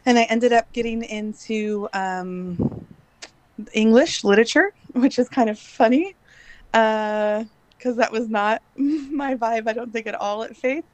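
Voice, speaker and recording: A woman's voice: on the high side, measured pace. A woman in her late thirties who grew up in Bangladesh, speaking as a podcast guest in casual, chatty talk with frequent laughs and hesitant fillers. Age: late 30s. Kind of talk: casual interview chat.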